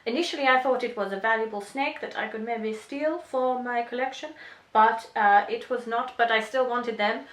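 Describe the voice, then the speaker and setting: An earnest, thieving witch, played by a woman in a homemade comedy skit. The voice is bright and a little high in a roomy echo, recounting mischief with halting, mock-serious care. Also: lightly acted. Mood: matter-of-fact. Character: earnest, matter-of-fact thieving witch telling her mischief.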